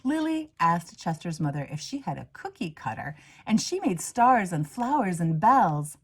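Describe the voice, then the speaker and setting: Expressive woman reading a children's storybook, lively pitch. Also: normal speed, slightly rough. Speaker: reader, minister. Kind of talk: children's storybook read-aloud.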